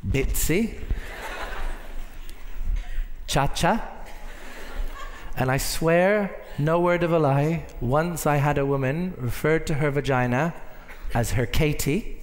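A man in his thirties performing stand-up comedy on stage, telling stories in an animated way with pauses and asides. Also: a little high. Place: large indoor convention stage. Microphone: headset. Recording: reverberant hall, clear background noise.

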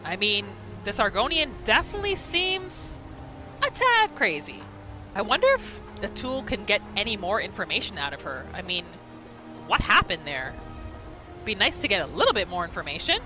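Female gaming host, bright voice, animated, reactive roleplay commentary, faint music. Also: medium pitch, moderate pace, poor audio. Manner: reactive, narrates her character in third person. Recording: faint game music behind.

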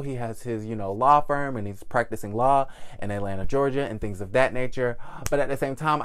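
A young man hosting a celebrity gossip vlog, sassy and animated, seated in a small room. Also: on the high side. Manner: sassy, animated, lots of "okay?" asides. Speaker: host. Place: small indoor room with curtains.

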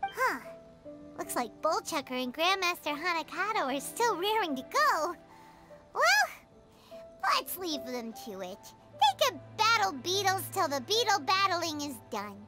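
A woman voicing a tiny chirpy childlike fairy companion in a video game, squeaky and exclaiming. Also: sing-song, unhurried, music in the background, heavily acted. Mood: amused. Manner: speaks of herself in third person, exclaiming.

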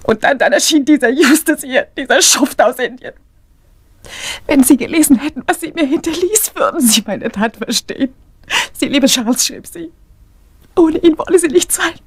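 A woman playing a bitter, grieving young woman in a radio crime drama, speaking intensely and haltingly with a breathy voice. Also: sits high, expressive, no room sound. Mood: pleading, anguished. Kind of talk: emotional radio drama monologue.

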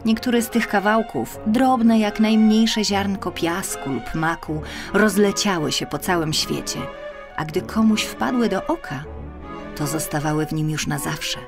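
A woman's voice: mid-range voice, measured pace, over music. A woman narrating a children's fairy tale expressively, drawing out key words for emphasis. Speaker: audiobook narrator.

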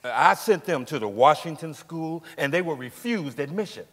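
An actor playing a steadfast working man of forty-nine on the witness stand, deliberate, hesitant and formally polite, in a dramatic stage reading. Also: a little high, lively intonation, fairly bright tone. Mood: plain, polite. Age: middle-aged. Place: large indoor theatre stage. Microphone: lapel mic. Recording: echoey theatre stage, long reverb.

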